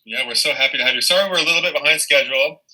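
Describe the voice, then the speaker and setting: A man's voice: on the high side, racing, sharp tone, poor audio. A man hosting a live online event over a video call, upbeat and welcoming to his guests. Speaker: host.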